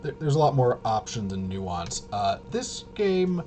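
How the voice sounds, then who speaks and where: A man giving a casual, unscripted walkthrough as a host, rambling and halting, with muttered asides to himself. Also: mid-range voice, sing-song, darkish tone, a little hiss or hum. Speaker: host of a tabletop RPG review video.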